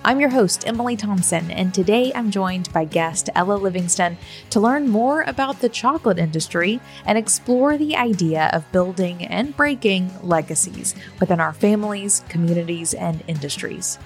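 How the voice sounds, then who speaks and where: A woman's voice: medium pitch, lively intonation, moderate pace, faint music behind. A woman hosting a podcast, reading intros and interviewing a guest in an upbeat, enthusiastic way. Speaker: podcast host. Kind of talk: casual interview and scripted ad reads.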